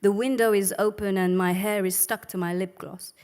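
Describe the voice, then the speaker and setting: A woman's voice: medium pitch, fairly even intonation, normal speed, a little mellow, clear, resonant tone, close to an everyday voice. A woman putting on a wry Egyptian woman. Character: wry, worldly Egyptian woman, sharp-tongued and unimpressed. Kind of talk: dramatic reading of a monologue.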